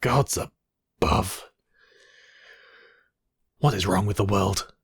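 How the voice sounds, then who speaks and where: Man acting a husky, commanding villain mage. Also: on the low side, dry recording, studio quality, heavily acted. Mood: exasperated. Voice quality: breathy, husky, often dropping to whispers. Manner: imperious, deliberate, addressing listener as apprentice. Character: commanding, manipulative male high mage villain, coldly possessive. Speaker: voice actor. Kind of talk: dramatic ASMR villain roleplay.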